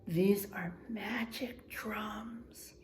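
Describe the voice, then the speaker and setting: A woman voicing an old, wise female wildebeest in a storybook, deliberate, gentle and knowing. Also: mid-range voice, fairly even intonation, a little hiss or hum. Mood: hushed, mysterious.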